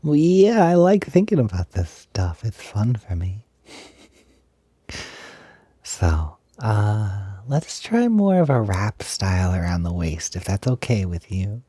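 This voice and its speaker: A man playing a gentle, enthusiastic young roommate in an intimate audio roleplay, talking softly, hesitantly and reassuringly with a breathy voice. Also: lowish voice, sing-song, slow pace, dry recording, studio quality, heavily acted. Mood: playful, excited. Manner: soft, hesitant, giggly, reassuring. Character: gentle, enthusiastic young male roommate who loves frilly clothes.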